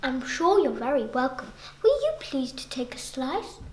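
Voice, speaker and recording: Sly spider for a storybook poem, played by a young girl: hushed, coaxing, flattering, in a roomy echo. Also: high-pitched, normal speed. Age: child. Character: sly, cunning, flattering male spider luring prey.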